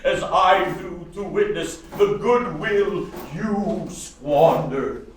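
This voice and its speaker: A man acting in a radio play as the doomed, solemn ghost of a dead soldier turned businessman, with a drawn-out theatrical delivery. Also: high voice, animated. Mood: ominous warning. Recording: echoey, distant stage recording with noise.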